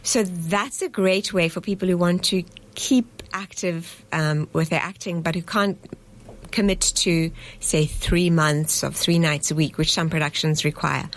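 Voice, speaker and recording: A woman's voice: lowish voice, unhurried. Earnest woman guest on a radio show. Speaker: festival director. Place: radio studio.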